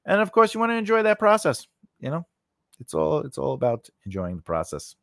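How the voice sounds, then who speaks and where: A man's voice: on the high side, huge pitch swings, a little fast, creaky, a bit bright, no room sound. A man in his forties giving an informal lesson in a conversational, rambling way, close on a studio microphone. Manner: conversational, rambling, punctuated with "you know" and "right?". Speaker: host of a screenwriting lesson. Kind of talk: informal instructional talk. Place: small indoor home studio. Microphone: large condenser microphone on a boom arm, close up.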